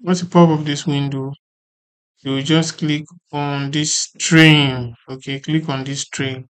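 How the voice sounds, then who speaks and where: Tutorial host with a flat, even delivery. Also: medium pitch, slow pace. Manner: repetitive, often checking for understanding. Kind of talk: informal step-by-step software tutorial.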